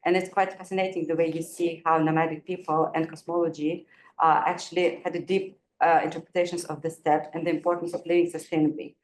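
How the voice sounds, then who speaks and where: Low-voiced woman academic in echoey room. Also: flat delivery, fast talker. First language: not Kazakh; Kazakh is her second language. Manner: hesitant, many fillers, light laughs. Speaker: panelist. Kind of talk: academic panel talk. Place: university event room. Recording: echoey room with faint noise.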